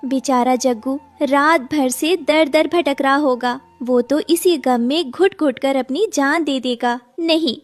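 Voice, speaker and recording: A woman's voice: high-pitched, slow, few pauses, no echo. A woman voicing a compassionate, indignant female sparrow in a cartoon, lamenting earnestly. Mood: anguished, indignant.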